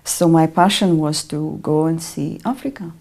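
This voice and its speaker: A middle-aged woman from Russia with a Russian accent, answering interview questions in a measured way with frequent ums. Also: low voice, expressive, creaky, darkish tone. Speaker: interview guest, artist and educator.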